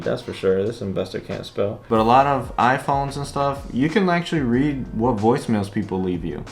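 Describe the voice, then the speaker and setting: A man hosting a casual vlog, explaining things informally and stressing his key points. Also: medium pitch. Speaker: vlog host, real estate investor. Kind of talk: casual vlog talk to camera.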